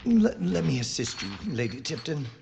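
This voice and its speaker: Man playing a droll gentleman, wildly swooping pitch. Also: very animated, normal speed, vocal fry, background noise. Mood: concerned. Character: wry, gallant British gentleman investigator. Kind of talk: audio drama acting.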